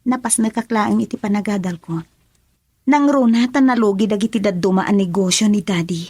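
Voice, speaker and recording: A woman's voice: medium pitch, fairly quick, a little mellow, husky, no echo, close to an everyday voice. A woman playing an earnest young student daughter in a radio drama. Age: young adult. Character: young female student daughter, earnest, evasive with her mother. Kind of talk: Ilocano radio drama acting.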